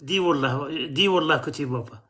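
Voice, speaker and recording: A man's voice: a little high, normal speed, bright, forceful tone. A man from Algeria hosting a live stream, quizzing callers with pointed, mocking questions.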